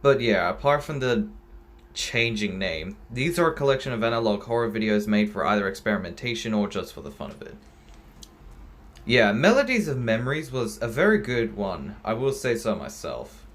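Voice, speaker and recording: A man's voice: lowish voice, expressive, normal speed. Man giving casual, unsure reaction commentary. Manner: hesitant, unsure, rambling. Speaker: reaction video host.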